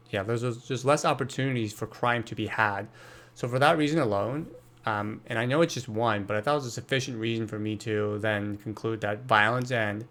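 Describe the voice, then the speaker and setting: A man's voice: medium pitch, fairly bright tone. Man thinking aloud in a monologue. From Brazil. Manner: thinking aloud, self-correcting, many fillers. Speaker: podcast host. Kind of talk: solo podcast monologue.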